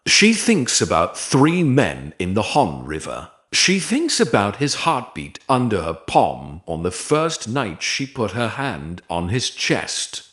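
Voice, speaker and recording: A man reads a dramatic romance story in a fairly low voice. His delivery is slow and measured, with intonation that swings widely across short, deliberate phrases. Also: a bit bright. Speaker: story narrator. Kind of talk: scripted dramatic romance narration.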